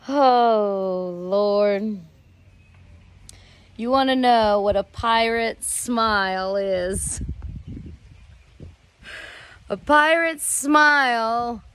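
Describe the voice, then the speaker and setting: An American woman telling rambling personal stories casually as a host, in a drawn-out way with some swearing. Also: fairly high pitch, a bit bright, clean tone, dry recording. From United States. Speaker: host of a personal storytelling show.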